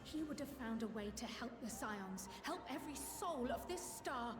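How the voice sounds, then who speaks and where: Game heroine, female actor: earnest, loyal, high voice, heartfelt, echoey. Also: animated, moderate pace, close to an everyday voice. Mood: impassioned. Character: earnest, loyal, defiant young woman. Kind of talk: video game cutscene acting. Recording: echoey room, music underneath.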